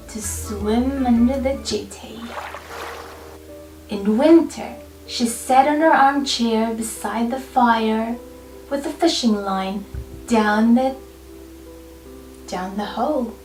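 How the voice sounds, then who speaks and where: A woman reading a children's picture book aloud expressively, with deliberate, dramatic phrasing and pauses. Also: fairly high pitch, a little mellow. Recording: roomy, distant mic, faint music behind. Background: faint background music.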